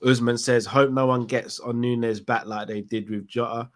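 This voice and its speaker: Male co-host on a live fan call-in, casual, reads viewer comments aloud, mid-pitched voice. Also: fairly even intonation, moderate pace. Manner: reads out viewer messages, laughs often.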